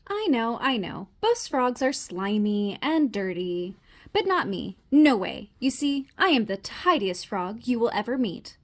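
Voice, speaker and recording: Woman acting out neat-freak boy frog, high voice, very animated, theatrical, indignant, easily panicked, children's storybook. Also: unhurried. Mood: proud, chatty. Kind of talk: children's storybook read-aloud.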